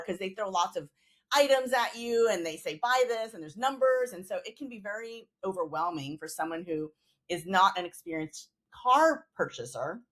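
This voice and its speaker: A woman's voice: fairly low pitch, fairly bright tone. A woman hosting a podcast on negotiation, introducing her guest with enthusiasm and filling her talk with "like". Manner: enthusiastic, fills with "like". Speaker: podcast host, negotiation teacher. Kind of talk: casual podcast interview.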